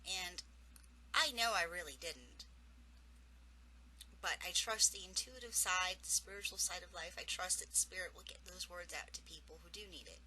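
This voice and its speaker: Bright-voiced woman with a cold. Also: lowish voice, normal speed, poor audio. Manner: earnest, encouraging, spiritual. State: has a cold, losing her voice. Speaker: intuitive coach. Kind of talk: advice vlog answering viewer questions.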